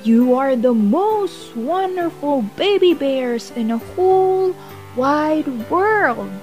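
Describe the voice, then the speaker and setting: A woman voicing a loving, reassuring mother bear in a bedtime storybook, tender and deliberate. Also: sits high, expressive, darkish tone, faint music behind, voice pushed up.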